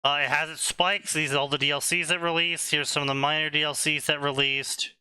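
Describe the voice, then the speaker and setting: A man co-hosting a casual stream, talking slowly and deliberately, often checking "right?". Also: on the high side, flat delivery, pushed and bright, clear, resonant tone, dry recording. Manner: explanatory, slow and deliberate, asks "right?" often. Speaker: stream co-host.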